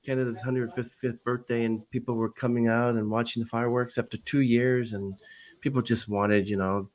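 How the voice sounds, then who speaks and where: A man hosting a podcast, asking casual questions in halting, loosely worded phrases. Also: mid-range voice, level tone, a little mellow. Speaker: podcast host.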